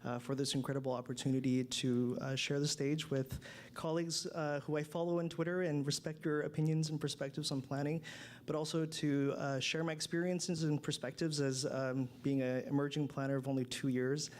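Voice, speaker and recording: A man's voice: fairly high pitch, flat delivery, a little fast. Earnest man speaking on a panel. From Canada. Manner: earnest and grateful, speaks in short hesitant bursts. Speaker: panelist, city planner. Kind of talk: answering on a live panel. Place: conference stage before a live audience. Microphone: stage microphone.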